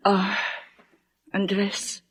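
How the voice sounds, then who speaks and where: An actress with a Filipino accent playing a lonely, grieving daughter in a radio drama, tearful, halting and pleading. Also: female voice, mid-range voice, monotone, breathy edge, dry recording, clean studio sound. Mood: weary. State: tearful, crying. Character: grieving lonely daughter Remedios, devoted former caregiver. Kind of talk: Tagalog radio drama acting.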